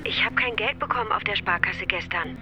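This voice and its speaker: A woman's voice: mid-range voice, fairly quick, close to an everyday voice. An actress playing a hurt, reproachful wife and mother in a radio drama, with music behind her.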